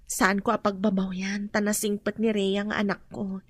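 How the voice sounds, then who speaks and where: A woman's voice: mid-range voice, little pitch movement, moderate pace, dry recording, natural voice. A woman playing a poor, weary and loving laundrywoman mother in an Ilocano radio drama, with an Ilocano accent. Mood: resigned.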